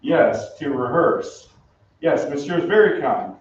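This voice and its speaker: A man's voice: on the high side, animated, a little slow, a little hiss or hum. Man playing formal police inspector, heavy echo. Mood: stiffly polite. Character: stiff, formal male French police inspector, drily suspicious. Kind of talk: old-time radio drama acting. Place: theatre stage. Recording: very echoey stage, distant muffled mic.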